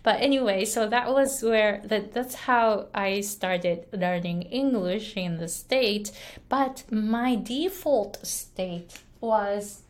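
Japanese-accented woman, unhurried coaching vlog, earnest and chatty with "right?" tags. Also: medium pitch. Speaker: English pronunciation coach. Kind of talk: casual motivational talk to camera.

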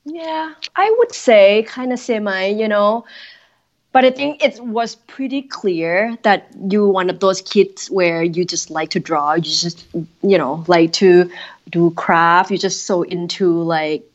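A woman's voice: mid-range voice, takes it slowly, highs cut off. A woman in her thirties from Thailand with a Thai accent, chatting casually as a podcast guest, with lots of fillers. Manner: chatty, with many fillers and non-native grammar. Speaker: guest graphic designer. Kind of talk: casual interview chat.

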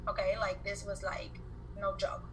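Bright-voiced woman with an Argentine accent. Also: medium pitch, unhurried, slight background noise. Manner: animated storyteller, repeats words when excited. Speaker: guest entrepreneur. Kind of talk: podcast interview.

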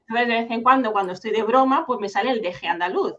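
A woman from Catalonia hosting a podcast interview, chatting in a lively way. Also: fairly high pitch, fast talker, slight background noise. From Catalonia, Spain. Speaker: podcast host. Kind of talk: interview conversation. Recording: dull lo-fi sound.

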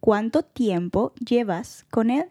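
High laughing woman with a Spanish accent. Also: unhurried, warm tone. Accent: Latin American Spanish. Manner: laughs often, switches between English and Spanish. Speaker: guest. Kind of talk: casual chat.

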